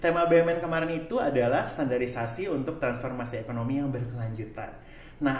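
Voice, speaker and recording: A man's voice: mid-range voice, darkish tone. A man hosting a casual talk show in an informal, chatty way. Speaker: podcast host. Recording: roomy echo, distant mic, background noise.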